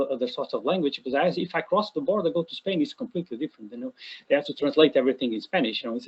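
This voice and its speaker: A man from Portugal, an academic answering a question in an online session, who talks haltingly with many fillers and restarts. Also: medium pitch, slightly rough. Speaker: academic presenter. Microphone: video call. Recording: dull lo-fi video call audio.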